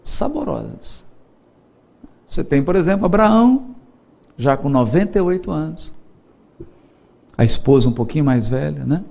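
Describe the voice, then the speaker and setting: Animated, playful Brazilian lecturer, high voice. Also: male voice, normal speed, gravelly, pauses often. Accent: Brazilian Portuguese. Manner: playful, laughs often, acts out quoted voices. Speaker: spiritist lecturer. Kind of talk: seminar lecture with storytelling.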